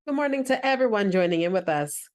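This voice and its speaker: A woman's voice: mid-range voice, lively intonation, fast, loud music behind the voice. Woman, 40s, mid-range voice, reads an informational script steadily. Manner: reads a prepared script evenly. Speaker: segment presenter.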